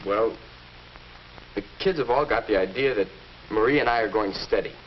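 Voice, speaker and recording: A man's voice: lowish voice, close to an everyday voice. A young American man playing an earnest, hesitant teenage boy in a 1950s educational film. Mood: uneasy. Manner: hesitant, halting. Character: earnest, unsure teenage boy dating a girl. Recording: dull, noisy old film soundtrack.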